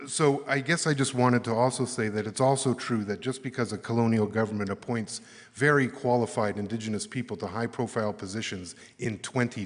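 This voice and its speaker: A Canadian man giving earnest closing remarks at an arts summit, halting and thoughtful, with many fillers. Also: lowish voice. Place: large conference hall. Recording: echoey hall with long reverb.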